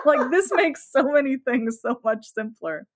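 A woman's voice: high voice, background noise. A woman talking casually as a podcast guest, informal and effusive, with emphatic asides. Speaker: podcast guest, romance novelist. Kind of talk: casual, chatty podcast interview.

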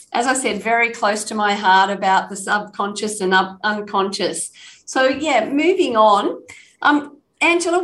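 A woman's voice: fairly high pitch, fairly bright tone. Enthusiastic woman podcast host. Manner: enthusiastic, effusive. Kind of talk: podcast interview.

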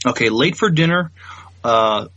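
A man's voice: mid-range voice, expressive, unhurried, a bit bright, no room sound. A man co-hosting a podcast in casual chat, hesitant and thinking aloud as he goes. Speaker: podcast co-host. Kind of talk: casual chat about films. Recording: dull, lo-fi, dry sound.

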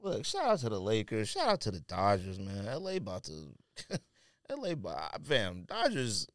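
A man's voice: lowish voice, huge pitch swings, moderate pace, no echo, clean studio sound. A man co-hosting a podcast in casual sports banter, slangy and opinionated with an exasperated, ribbing edge. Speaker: podcast co-host.

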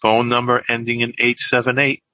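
Very bright, forceful male host. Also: medium pitch, monotone, fairly quick, dry recording, dull audio. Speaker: program host. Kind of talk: program announcing and moderating.

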